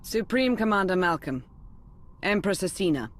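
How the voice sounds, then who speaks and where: An actress playing a loyal, level-headed military advisor in a video game, speaking in a crisp, dutiful way. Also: female voice, medium pitch, very animated, moderate pace, natural voice. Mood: serious. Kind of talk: video game cutscene acting.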